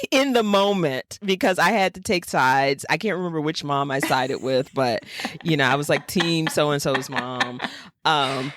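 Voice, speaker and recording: A woman's voice: low voice, dry recording. A woman from Florida chatting casually and enthusiastically about books as a podcast co-host, with emphatic praise. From Jacksonville, Florida, United States. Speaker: podcast co-host, fiction writer. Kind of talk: casual book chat.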